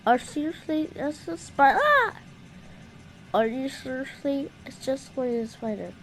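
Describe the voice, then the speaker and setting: A woman with a very high voice puts on a playful, improvised puppet show. Her delivery is excitable and exclamatory, with big swings in pitch, over some background noise. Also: measured pace.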